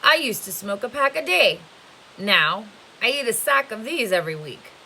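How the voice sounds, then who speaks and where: A woman voicing a stern, gruff camp boss in a cowboy hat, speaking in a deliberate, commanding way for a storybook read-aloud. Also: a little high, big pitch swings, pushed and bright, pitched above the performer's own voice, exaggerated voice, poor audio. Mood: matter-of-fact. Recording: noticeable hiss behind the voice.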